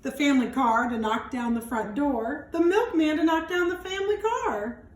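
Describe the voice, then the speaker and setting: A woman reads a picture book aloud to children in an animated way, stretching words for emphasis and adding chatty, wondering asides. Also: high voice, normal speed. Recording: echoey room with some background noise.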